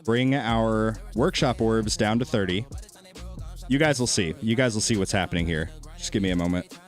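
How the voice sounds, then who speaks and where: A man's voice: lowish voice, normal speed, faint music behind. A man streaming a game and chatting casually with viewers, laid-back and thinking aloud, with a soothing voice. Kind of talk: casual gameplay commentary with chat.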